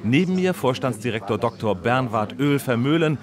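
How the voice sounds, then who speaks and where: An actor playing an earnest, polite radio reporter, formal and unflustered, in a mock live report. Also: male voice, medium pitch, animated, normal speed, a crowd in the background, close to an everyday voice.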